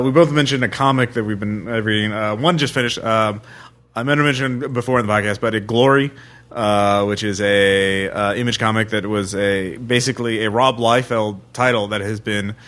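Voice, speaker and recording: A man co-hosting a casual podcast, explaining things in a halting, thoughtful way. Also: fairly low pitch, steady tone, fairly bright tone. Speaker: podcast co-host. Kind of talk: casual podcast chat about comics.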